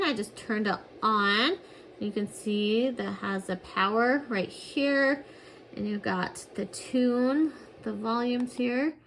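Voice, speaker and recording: A woman's voice: mid-range voice, a bit bright, a little hiss or hum. A woman giving a casual product review, explaining things plainly step by step.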